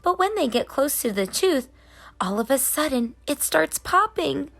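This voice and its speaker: A woman reading as a busy, whimsical, gently scolding tooth fairy in a children's storybook, in rhyme. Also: sits high, big pitch swings, measured pace, gravelly, pitched above the performer's own voice, exaggerated voice. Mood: excited. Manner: sing-song rhyming delivery. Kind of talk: children's storybook narration in character.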